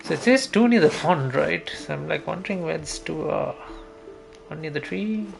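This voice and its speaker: A man's voice: on the high side, lively intonation, normal speed, gravelly. A man giving casual gameplay commentary, thinking aloud as he mutters through each step to himself. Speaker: video game streamer. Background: game music.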